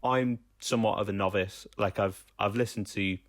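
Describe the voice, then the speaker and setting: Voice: low voice, steady tone, moderate pace, no room sound, clean studio sound. A podcast host chatting casually, feeling out phrases in a conversational way. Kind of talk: casual chat.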